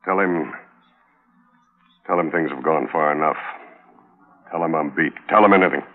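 An actor playing a scheming, impatient cattle boss in a 1950s western radio drama. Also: male voice, rumbling low, normal speed. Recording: narrow, muffled old radio broadcast.

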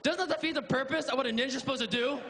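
A man born in Vietnam and raised in America, doing an energetic stand-up set on a live stage with a handheld mic. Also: extremely high pitch. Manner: energetic, fast riffing with laughs. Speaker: stand-up comedian. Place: comedy stage before a live audience. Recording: loud crowd and room noise.

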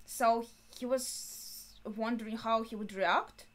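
A young adult woman giving casual, unscripted reaction commentary, thinking aloud with hesitant pauses mid-thought. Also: on the high side, fairly even intonation. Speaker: reaction video host. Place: small furnished bedroom, indoors.

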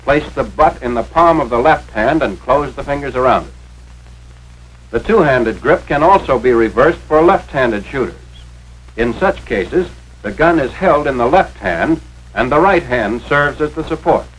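A man's voice: medium pitch, animated, moderate pace, husky. An American man narrating a scripted 1940s instructional film in an authoritative, carefully enunciated way, as if teaching step by step. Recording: noisy 1940s film soundtrack, radio-like.

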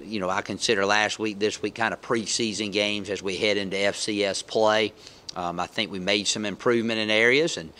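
A man's voice: fairly low pitch, fairly bright tone. A middle-aged man speaking as head football coach at a post-game press conference, matter-of-fact with frequent ums, on a table mic. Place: press room, seated at table.

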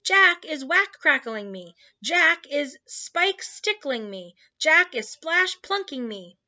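A woman's voice: fairly high pitch, expressive, moderate pace, bright, forceful tone, highs cut off. A woman voicing a huge brutish man-eating giant for a storybook, bellowing and screeching in terror with broken grammar. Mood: panicked, pleading.

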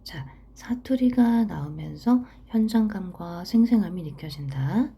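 A woman teaching literature, reading a story aloud and explaining it in a soft, half-whispered delivery. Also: fairly low pitch, expressive, a little slow, gravelly, husky.

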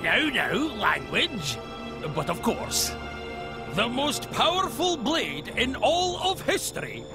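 Pompous high-pitched villain, drawling theatrically. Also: male voice, vocal fry, heavily acted. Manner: pompous, mock-courteous, drawn-out delivery. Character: pompous, theatrical male villain, scheming and self-satisfied. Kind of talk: video game cutscene acting.

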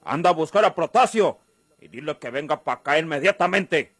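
An actor playing a blunt, menacing crime boss with a rural Mexican accent in a radio drama. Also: male voice, on the high side, expressive, fairly quick, a bit bright, no room sound. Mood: stern, irritated.

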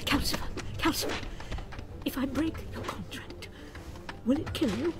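A woman's voice: sits high, normal speed. A woman playing a determined, kind-hearted heroine with magic in an audio drama. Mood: anxious. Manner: earnest, imploring.

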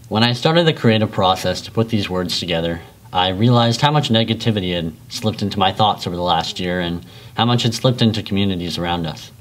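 A young man reading a prepared valedictorian speech in a school library, earnest and measured. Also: low voice, fairly bright tone. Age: young adult.

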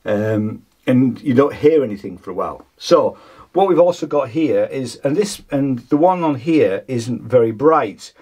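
This is a man in his sixties with a husky, medium-pitched voice. He explains things informally, as in a hands-on demonstration. He feels his way through sentences, thinking aloud as he goes. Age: 60s. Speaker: vlogger demonstrating vintage audio gear. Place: small home studio with foam panels, indoors.

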